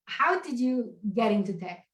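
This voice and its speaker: Higher-voiced woman host in an echoey room. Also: animated, unhurried. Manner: warm, encouraging, affirming. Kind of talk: casual live-stream interview. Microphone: video call.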